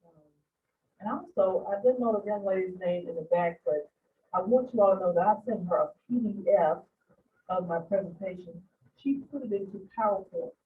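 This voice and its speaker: A woman's voice: mid-range voice, moderate pace. A woman speaker gives an earnest, conversational talk about leadership. Her voice comes from far off and sounds thin and phone-like, with faint room noise around her. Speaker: guest speaker, leadership coach. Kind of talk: public talk. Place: museum lecture space.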